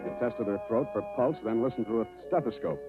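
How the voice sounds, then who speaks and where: A hard-boiled male private detective, acted by a man in a 1940s radio noir. He is dry, deadpan and quick with a wisecrack. The sound is narrow and boxy like an old broadcast, with music behind. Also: mid-range voice, close to an everyday voice. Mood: dry, narrating. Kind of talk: 1940s radio noir acting, scripted dialogue and narration.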